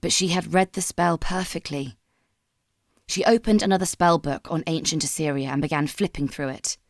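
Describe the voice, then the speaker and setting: A woman with a low voice reads a dramatic story from a script. Her telling is measured and solemn, and the sound is very dry and close. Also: dry recording. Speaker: fan fiction narrator.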